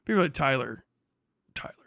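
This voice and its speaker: A man with a lively, swooping voice gives casual, sweary reaction commentary on a stream. He is mocking and laughs often between phrases. The sound is close and dry. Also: medium pitch, fast talker, vocal fry, no room sound. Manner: profane, mocking; laughs often while talking. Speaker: livestreamer.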